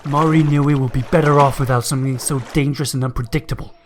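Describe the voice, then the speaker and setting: A man voicing an earnest, hunted hero in an animated fan dub, talking to himself anxiously and dramatically. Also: mid-range voice, fast, close to an everyday voice. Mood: anxious, resolute. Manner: anxious, dramatic self-talk. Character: desperate, earnest hunted hero wrestling with his duty.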